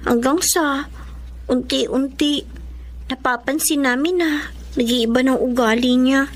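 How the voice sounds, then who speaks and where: A woman's voice: high voice, slow. A woman playing a young girl in a radio drama, with a bitter, earnest delivery. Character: young girl, bitter daughter, then bright younger sister.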